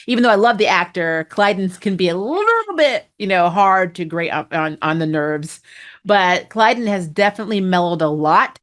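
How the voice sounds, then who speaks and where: A woman hosting a casual spoiler review of a TV episode, chatty and amused, laughing often and filling pauses with "um" and "you know". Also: fairly low pitch, fairly bright tone. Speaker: TV review host.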